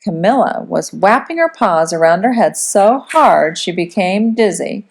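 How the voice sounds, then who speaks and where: A woman reading a storybook aloud as a teacher would, in expressive, dramatic children's narration. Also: mid-range voice, a little slow, vocal fry.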